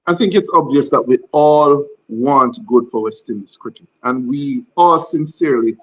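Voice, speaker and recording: A man on a cricket panel, measured and earnest, stressing the same point several times. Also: mid-range voice, muffled recording. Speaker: cricket pundit. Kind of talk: panel discussion.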